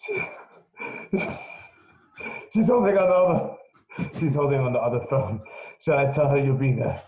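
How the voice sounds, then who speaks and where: A man's voice: mid-range voice, big pitch swings, moderate pace, mellow, reverberant, poor audio. An actor playing a middle-aged police commissioner in an amateur radio play, delivering his lines theatrically. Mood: urgent.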